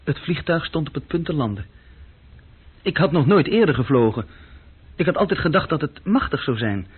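A man's voice: a little high, lively intonation, moderate pace, breathy edge. An actor playing an uneasy, insecure young junior clerk who tells his story hesitantly and intimately in a radio play. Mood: brooding. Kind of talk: radio play acting, intimate first-person narration. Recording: dull, muffled old radio recording.

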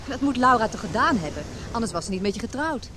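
An actress playing a gentle but guarded married woman confiding with a friend in a naturalistic radio drama. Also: fairly high pitch, expressive, fast talker, noisy background, natural voice. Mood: gentle, probing.

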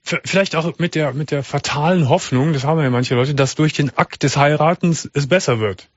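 A man hosting a late-night radio call-in show, giving callers calm, direct advice with frequent restarts. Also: a little high, a little fast, no echo, highs cut off. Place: radio studio.

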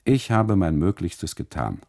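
An aggrieved, boastful custodian of a café, acted out by a male narrator for radio. His deep voice has a gravelly edge and swings widely as he calls out and justifies himself with emphasis. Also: sing-song, measured pace, heavily acted. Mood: indignant, defensive. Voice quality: loud, calling out. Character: limping café custodian, aggrieved, boastful, loudly self-excusing.

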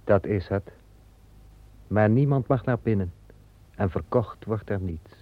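A calm, unbending angel who keeps the garden in an old radio play. He speaks slowly in a lowish voice. The sound is narrow and tinny. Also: no echo. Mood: stern. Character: stern male angel gardener of Eden.

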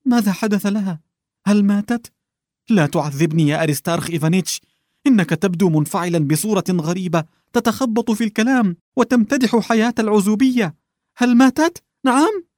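Frightened colonel near tears, voiced by a man; high, strained and pleading. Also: a little fast, darkish tone, dry recording, studio quality. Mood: anxious. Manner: pleading, anxious questioning. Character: distraught middle-aged male colonel, tearful, nervous.

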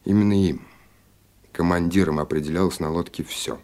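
A man's voice: deep voice, fairly even intonation, moderate pace, darkish tone. An actor playing a Soviet submarine officer who tells his own story in an earnest, introspective radio drama narration. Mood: reflective. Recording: 1980 radio play, guitar music behind. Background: guitar music.

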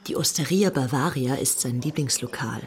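A woman's voice: extremely low pitch, moderate pace. A woman playing a young, coquettish, infatuated upper-class Englishwoman in an audio documentary drama. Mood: conspiratorial. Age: young adult.

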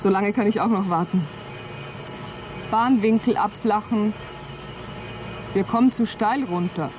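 An actress playing a cool, dry astronaut co-pilot in a German radio play, speaking in a clipped, composed way. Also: female voice, medium pitch, a little slow, background noise, close to an everyday voice. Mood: focused. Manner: clipped, composed, dryly ironic.

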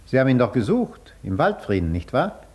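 A man acting a suave, sardonic gentleman interrogator in a German radio drama, polite on the surface while probing and mocking. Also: medium pitch, wildly swooping pitch, fairly quick. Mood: sly, probing.

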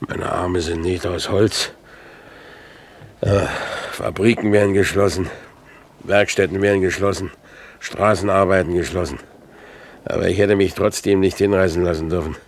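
A man's voice: deep voice, steady tone, normal speed, pauses often, slight background noise. An actor playing a weary, jobless man on the run, terse and resigned, in a 1950s German radio drama. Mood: bitter, resigned. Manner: terse, resigned, plain-spoken. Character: weary, jobless man fleeing with his young niece.

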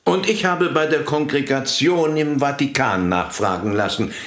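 An actor playing a calm, diplomatic church official in a radio play. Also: male voice, medium pitch, reverberant, lightly acted. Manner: measured, deliberate.

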